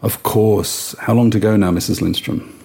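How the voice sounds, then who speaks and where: An actor playing a calm, courteous police detective in an audio drama, measured and soothing as he asks questions. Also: lowish voice, gravelly. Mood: courteous, reassuring. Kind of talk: naturalistic audio drama acting.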